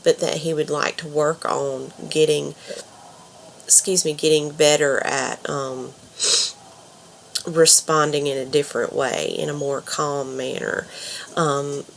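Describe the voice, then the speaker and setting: Stuffed-up low-voiced woman with a cold. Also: flat delivery, takes it slowly, gravelly, a little hiss or hum. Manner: hesitant, many ums and you knows. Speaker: counselor.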